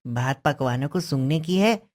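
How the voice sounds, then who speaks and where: A woman's voice: sits low, expressive, normal speed. A woman voicing a clever, cheeky village orphan boy in a cartoon story. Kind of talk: Hindi cartoon story acting.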